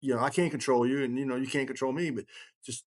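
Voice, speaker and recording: A man's voice: medium pitch, steady tone, fast talker. An older man in casual podcast conversation, rambling but emphatic, with blunt, salty language. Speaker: kindred chieftain.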